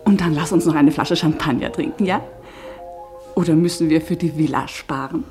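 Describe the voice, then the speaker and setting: A woman's voice: deep voice, moderate pace, a little mellow, close to an everyday voice. An actress playing Ruth, a wistful German émigré wife in a radio play, with dance music behind her. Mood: teasing.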